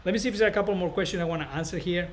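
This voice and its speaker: Higher-voiced Spanish-accented man, rambling live chat. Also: a little hiss or hum. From northern Spain. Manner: rambling, halting, repeats himself. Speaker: barber educator and live stream host. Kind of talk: casual live stream chat with viewers.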